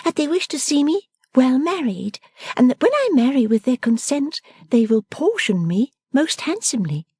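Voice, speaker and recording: Woman reader putting on a coaxing young woman, high and bouncy, husky edge. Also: big pitch swings, normal speed, darkish tone, no room sound, studio quality, exaggerated voice. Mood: playful teasing. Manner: coaxing, emphatic and theatrical. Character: playful young woman, teasing and mercenary.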